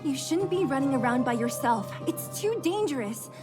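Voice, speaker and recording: A woman voicing a brash, protective young female fighter in a video game, blunt and expressive. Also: sits high, normal speed, over loud music. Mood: firm, insistent. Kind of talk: video game cutscene voice acting.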